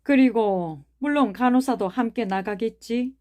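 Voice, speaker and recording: A woman's voice: a little high, unhurried, a little mellow, pitched above the performer's own voice, lightly acted. A woman doing a timid male doctor. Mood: cautious. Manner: hesitant, mild. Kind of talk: audiobook character reading.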